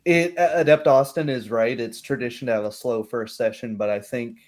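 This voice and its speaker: A man's voice: medium pitch, steady tone, a little fast, darkish tone. A man speaking as a game master, reflecting casually and hesitantly after a tabletop session. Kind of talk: casual post-game discussion.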